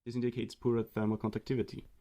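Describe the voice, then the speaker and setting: A young man from Austria giving a read-aloud presentation of a student research project in a steady explanatory way. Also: mid-range voice, racing.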